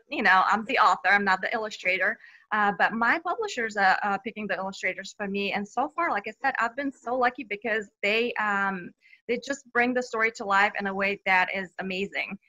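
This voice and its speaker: A woman's voice: medium pitch, fairly quick, pushed and bright, poor audio. A woman from Bulgaria, a children's book author answering interview questions cheerfully, with frequent laughs and fillers.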